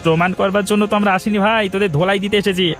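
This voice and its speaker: A man's voice: high-pitched, steady tone, racing, fairly bright tone, faint music behind. A man voicing an indignant, protective village uncle in a cartoon, scolding and threatening wrongdoers with sarcasm. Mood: angry, threatening. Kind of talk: Bengali cartoon voice acting.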